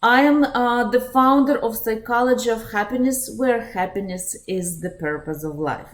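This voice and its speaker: A woman's voice: a little high, normal speed. A woman in her thirties from Russia with a Russian accent, hosting a live online psychology webinar in an earnest, direct way, with frequent "uh" fillers.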